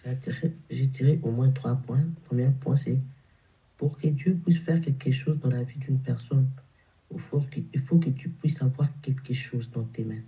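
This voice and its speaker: A man's voice: medium pitch, steady tone, moderate pace, lo-fi sound. A man with a cold preaching a morning exhortation, repeating and stumbling over his words. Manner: repetitive, stumbling, emphatic preaching. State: has a cold, congested.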